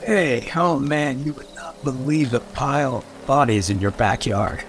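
An actor playing a crude but friendly rogue mayor in an audio drama, casual and irreverent. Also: male voice, mid-range voice, unhurried, a little hiss or hum, close to an everyday voice. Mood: awkwardly jokey.

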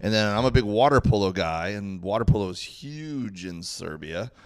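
Podcast guest from Miami, low-ish male voice, eager, chatty storyteller. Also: animated, normal speed, smooth. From Miami, United States. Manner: chatty and eager, tells cooking stories with restarts. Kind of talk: casual podcast chat.

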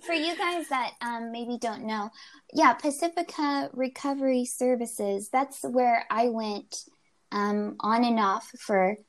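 A woman's voice: fairly high pitch, takes it slowly. A woman hosting an interview, speaking hesitantly with many fillers and small laughs. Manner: hesitant, many ums, giggly. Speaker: interview host. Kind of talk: conversational interview.

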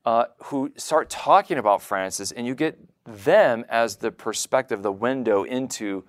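A man's voice: mid-range voice, unhurried, no echo. A man hosting a conversational interview podcast, leaning on and repeating words for emphasis. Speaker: podcast host.

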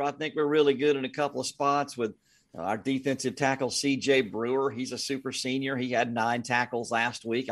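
A man's voice: medium pitch, fairly bright tone. An American man, a sports announcer speaking as an interview guest, giving casual analysis.

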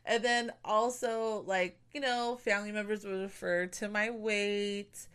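A woman's voice: mid-range voice, fairly even intonation, a little slow, fairly bright tone, smooth. A young woman hosting her own show, talking candidly in a casual personal monologue, full of "like" and "you know". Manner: candid, chatty, heavy use of "like" and "you know". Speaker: podcast host.

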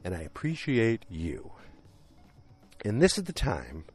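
A man hosts a solo podcast and recaps an episode in a loose, rambling way, often correcting himself. His voice sits low but moves a lot in pitch, and it sounds a little worn from a recent concert. He records outdoors. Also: unhurried, slightly rough, soft background music. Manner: rambling, self-correcting, animated. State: voice deepened from singing at a concert. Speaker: podcast host.

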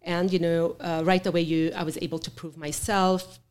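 Low-voiced middle-aged woman, halting. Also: level tone, darkish tone, clear, resonant tone. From born in Chicago, raised in Beirut. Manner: halting, with many fillers and restarts. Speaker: guest. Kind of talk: interview storytelling.

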